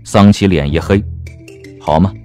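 A man's voice: low voice, unhurried, a little mellow. A man narrating a comic period novel as an audiobook, with a wry, theatrical delivery. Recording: music bed under the voice.

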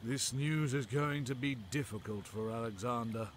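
A man voicing a loyal, solemn companion to a king in an audiobook, gentle, reverent and formal. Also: medium pitch, slight background noise, pitched above the performer's own voice, natural voice. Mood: regretful. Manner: slow, drawn-out, formal. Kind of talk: audiobook character dialogue.

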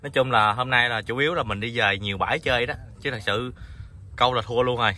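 A man's voice: mid-range voice, fast talker. A man with a southern Vietnamese Mekong Delta accent, a fishing vlogger chatting casually and easily as he narrates from a wooden boat on a river. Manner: chatty, easygoing, excited bursts. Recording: outdoor river noise.

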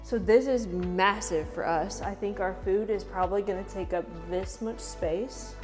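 A woman's voice: mid-range voice, moderate pace, darkish tone. Young woman, chatty, laughs a lot, echoey empty house, camera mic. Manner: chatty and upbeat, laughs often. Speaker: vlogger giving a house tour. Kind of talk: casual house tour narration. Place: large empty house, indoors. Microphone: camera mic from a few steps away. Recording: roomy reverb in empty rooms, music underneath.

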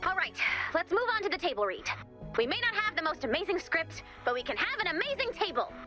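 A woman's voice: very high voice, sing-song, quick pace, over music. A woman voicing a perky, earnest little robot director in a video game. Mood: eager. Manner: chirpy, earnest, dramatic. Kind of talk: video game character voice acting.

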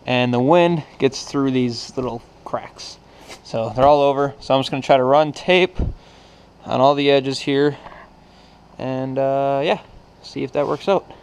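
A man's voice: medium pitch, clean tone. A young man talking casually to his action camera as a vlogger, in a garage, in the snow and in his truck, rambling with lots of "so" and "yeah.". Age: young adult. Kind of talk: casual vlog narration.

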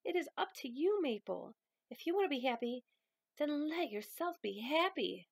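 Woman acting a firm, high-voiced grandmother. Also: very animated, normal speed, gravelly, no room sound, studio quality, heavily acted. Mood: stern, impassioned. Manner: emphatic, folksy, firm. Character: stern, loving grandmother giving tough love. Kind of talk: dramatic novel reading.

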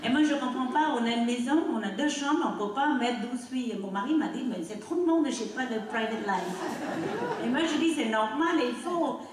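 A woman's voice: a little high, lively intonation, recorded from a distance, noisy background. Cambodian woman speaking in an echoey theatre. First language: Khmer. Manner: halting, emotional, non-native phrasing, asks the audience "non?". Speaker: speaker on stage. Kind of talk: personal testimony to an audience. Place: dark theatre stage. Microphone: handheld mic.

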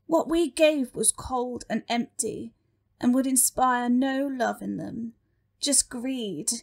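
A meek little demon underling, acted by a woman for a comic audio play. The voice sits a bit high and moves slowly, hesitating with earnest care over each thought. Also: creaky, a little mellow, full character voice. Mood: sorrowful. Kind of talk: comic audio drama acting.

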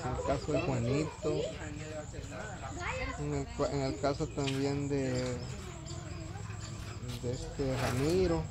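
A man with a Guatemalan accent hosting a casual vlog, talking into a phone outdoors on a building site. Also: mid-range voice. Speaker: vlog host. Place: open, roofless block-house building site outdoors. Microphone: handheld phone behind the camera. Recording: noisy outdoor phone recording.

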